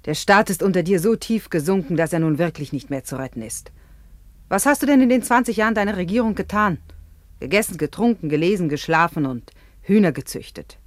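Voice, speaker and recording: An actress playing a proud, ambitious middle-aged Roman empress in a German radio play, haughty and accusing. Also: female voice, on the low side, animated, brisk, dry recording, natural voice. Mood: indignant, proud.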